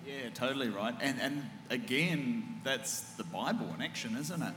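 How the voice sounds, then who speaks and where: A man with a big booming voice hosting a relaxed church panel chat, enthusiastic and affirming. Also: moderate pace, a little hiss or hum. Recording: echoey hall with long reverb.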